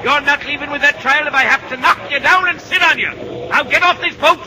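A blustering but loyal man in a vintage radio drama. He speaks very high over crowd sounds on a noisy old recording. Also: fairly quick. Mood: exasperated. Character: blustering, loyal male friend of a sea captain. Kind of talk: 1930s-40s radio acting.

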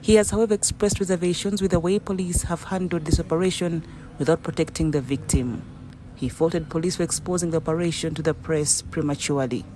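A man reads a news package in a measured, formal cadence at a mid pitch. There is a faint hiss of noise under his voice. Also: steady tone. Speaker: news reporter. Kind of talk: scripted news voice-over.

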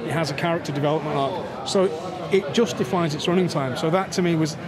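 A man's voice: a little high, fast talker, over music. An older man with a handheld mic, interviewing a guest at a festival media wall in a chatty, rambling way full of restarts. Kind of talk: casual interview. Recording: noisy crowd around. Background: crowd chatter.

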